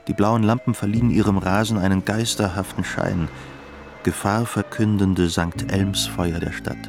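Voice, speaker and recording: A man's voice: low-pitched, steady tone, moderate pace, creaky. A man narrating a radio play poetically, in solemn, incantatory phrases. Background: music with guitar.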